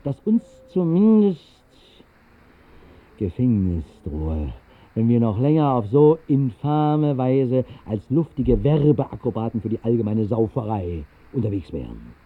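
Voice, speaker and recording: Casting: wry, whimsical narrator of a 1960s radio play, mid-range voice, very lively intonation, musing conversational delivery, slightly muffled vintage sound. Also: male voice, medium pitch, measured pace. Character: whimsical man recounting a fantastical night flight over the city.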